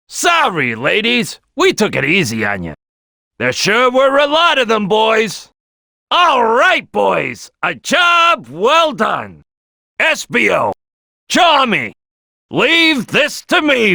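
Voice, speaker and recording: Big cocky croc game character, bright punchy voice, swoops all over, loud and boastful. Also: male voice, high voice, creaky, no room sound, studio quality, exaggerated voice. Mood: grumbling. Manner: loud, swaggering, boastful, drawn-out delivery. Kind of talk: exaggerated cartoon game voice lines.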